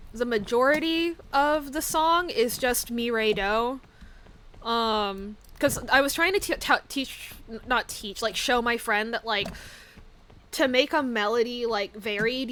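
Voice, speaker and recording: A woman's voice: fairly high pitch, a bit bright. A woman streaming a game, rambling casually with many fillers and giggles, with a slight lisp. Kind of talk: casual chat while gaming.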